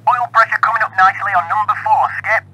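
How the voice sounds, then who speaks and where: An actor playing clipped, businesslike wartime air crew through a radio intercom filter in a radio drama. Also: male voice, sits high, steady tone, quick pace, pushed and bright, husky, full character voice. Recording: crackly radio intercom with humming engines.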